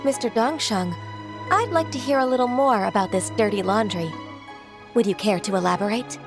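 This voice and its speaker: A woman playing a gentle, modest and composed secretary and mentor in an anime game dub, speaking with polite, measured formality. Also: medium pitch, close to an everyday voice. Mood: composed explaining. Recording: music behind.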